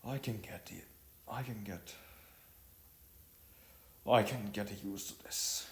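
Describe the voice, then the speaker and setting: A man's voice: lowish voice, slow, reverberant. A man voicing a reserved, humble man who speaks stiffly and formally, with hesitation, in an audio story. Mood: subdued, hesitant.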